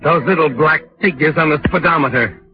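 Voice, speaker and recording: An actor playing an ominous, all-knowing narrator who sardonically addresses the culprit in a 1940s radio mystery. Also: male voice, on the high side, a little fast, slightly rough. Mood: knowing, suspenseful. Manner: sardonic, addresses the character as "you". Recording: muffled vintage radio recording with music.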